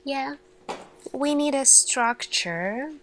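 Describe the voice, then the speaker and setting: A woman performing an ASMR sci-fi roleplay as an earnest, thoughtful lead scientist, speaking deliberately with pauses. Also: sits high, lively intonation, fairly bright tone. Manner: slow, deliberate, pausing, questioning delivery. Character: earnest, thoughtful female lead scientist addressing her team.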